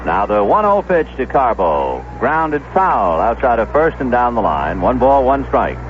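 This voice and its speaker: A man doing 1970s radio baseball play-by-play, measured and descriptive, telling small stories between pitches. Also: big pitch swings, a little hiss or hum. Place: stadium broadcast booth. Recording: dull lo-fi vintage AM radio broadcast.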